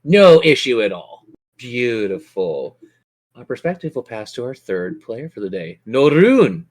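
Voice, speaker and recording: A man's voice: medium pitch, very animated, a little slow, darkish tone. Theatrical man running a tabletop game. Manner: theatrical, descriptive storytelling. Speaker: game master. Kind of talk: narrating a tabletop role-playing game.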